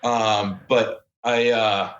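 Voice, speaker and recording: Thirtysomething guy rambling on a podcast, lowish voice, muffled lo-fi sound. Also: level tone, extremely slow, a bit bright. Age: 30s. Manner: rambling, many fillers and restarts. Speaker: podcast guest. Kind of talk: casual chat.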